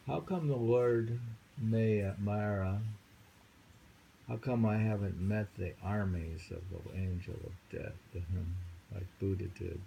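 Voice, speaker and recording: A man's voice: fairly low pitch, fairly even intonation, slow, gravelly, mellow, slight background noise, rough recording. A man reading poems aloud and chatting casually at home, musing with hums and chuckles. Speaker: reader and host. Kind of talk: casual chat and poetry reading. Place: home in New York City on a rainy day.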